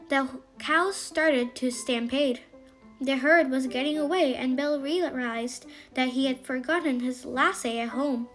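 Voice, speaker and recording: A woman narrating a scripted children's bedtime story in an expressive, emphatic way. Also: sits high.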